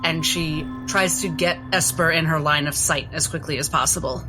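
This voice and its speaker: Female player, deep voice, measured in-character narration over music. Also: steady tone, rough recording. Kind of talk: describing her character's actions in a tabletop game. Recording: music bed behind the voice.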